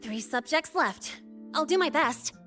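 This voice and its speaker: A young female student from an anime-style game, high-voiced and very animated. She is perky, breezy and eager to help, with a casual way of talking. Music plays behind her. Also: measured pace. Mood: sheepish.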